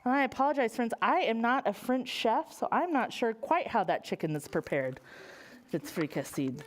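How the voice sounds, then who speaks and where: A woman's voice: a little high, lively intonation, normal speed. An American woman reading a picture book aloud to young children, expressively and with questions for them along the way. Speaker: children's library storyteller.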